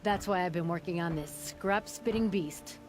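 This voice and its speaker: Woman playing a brash, low-voiced forge boss. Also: moderate pace, darkish tone, lightly acted. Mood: wry, proud. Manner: blunt, wry, folksy boasting. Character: brash, proud older forge boss woman, gruffly humorous. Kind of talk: video game character acting. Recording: music under the voice.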